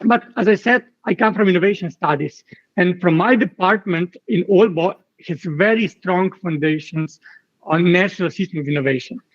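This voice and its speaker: A man's voice: high voice, muffled recording. Man lecturing over a video call. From Slovenia. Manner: halting, repeats words, many fillers. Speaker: keynote lecturer. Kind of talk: online keynote lecture.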